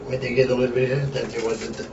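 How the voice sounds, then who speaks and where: A man's voice: mid-range voice, little pitch movement, quick pace. An older man from Antwerp, a retired wrestler reminiscing in a blunt, rambling, profane way. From Antwerp, Belgium. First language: Flemish. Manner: blunt, rambling, swears freely. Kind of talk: casual reminiscing chat. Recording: distant, roomy, dull lo-fi with background hiss.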